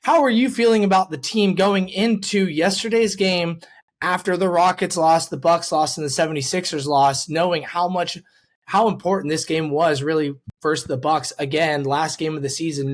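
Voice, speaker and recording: High-voiced enthusiastic male podcast host. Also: moderate pace. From US West Coast. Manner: enthusiastic, informal. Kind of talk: casual sports podcast chat.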